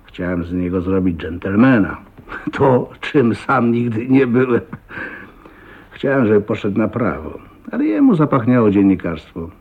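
An actor in a radio drama playing a talkative, blunt, jovial old self-made millionaire with a husky voice and a folksy manner. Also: male voice, lowish voice, very animated, unhurried, heavily acted. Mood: wistful, reminiscing. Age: older. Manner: garrulous, folksy, blunt, with chuckles and exclamations. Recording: muffled thin narrow-band radio recording.

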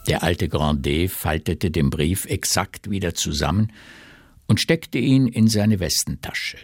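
A man reading scripted literary narration for a German radio drama in a husky voice. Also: low voice, a little slow, no echo.

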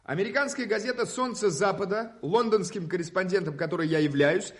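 Husky, wry American newspaper reporter. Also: male voice, high-pitched, fairly quick, a bit bright. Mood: insistent, courteous. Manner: wry, rhetorical, theatrically formal. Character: eager, ironic male American newspaper correspondent in London. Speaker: actor narrating and playing a reporter. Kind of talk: radio play storytelling and dialogue.

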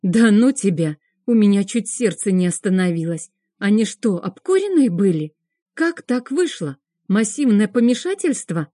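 Woman playing a bossy rapid-fire best friend. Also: medium pitch, lively intonation, dark tone, dry recording, studio quality, close to an everyday voice. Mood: alarmed, scolding. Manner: rapid-fire, exclamatory, scolding. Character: chatty, bossy, fast-talking female best friend on phone.

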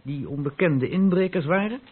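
An actor playing a stern, reproachful police inspector in a radio drama, questioning in a clipped, insistent, authoritative way. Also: male voice, a little high, moderate pace, breathy edge. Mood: businesslike. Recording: muffled narrow-band old radio recording.

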